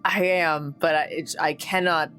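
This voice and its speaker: A woman playing a tabletop roleplaying game, chatting casually with halting, hesitant phrasing. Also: deep voice, steady tone, a bit bright, soft background music.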